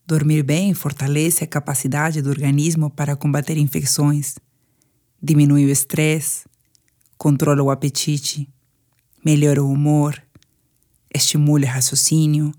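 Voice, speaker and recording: A woman's voice: sits low, a little slow, long pauses, warm tone. A woman with a Brazilian Portuguese accent guides a sleep meditation in a soothing, instructive manner.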